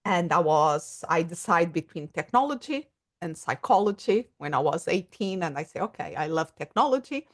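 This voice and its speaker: A middle-aged woman with a Portuguese accent speaks in a low register. She explains ideas thoughtfully and searches for words, with frequent small pauses and fillers. The talk is a relaxed interview. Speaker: guest psychologist and coach. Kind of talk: casual interview conversation.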